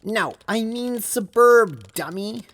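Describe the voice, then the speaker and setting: A woman playing an earnest, feisty teenage girl in an amateur audio drama, halting and casual, blunt when annoyed. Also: a little high, noisy background.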